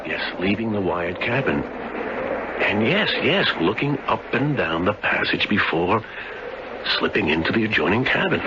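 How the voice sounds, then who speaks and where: Deep-voiced, earnest, insistent husband in radio drama. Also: male voice, very animated, unhurried. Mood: earnest, tense. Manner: earnest, insistent, formal wording. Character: worried, stubborn husband determined to help his friend. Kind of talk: 1970s radio drama acting. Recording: dull, very noisy old radio recording.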